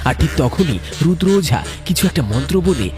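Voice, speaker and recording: A man's voice: on the high side, big pitch swings, normal speed. A man narrating a scripted horror story in a dramatic, suspenseful storytelling manner. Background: music.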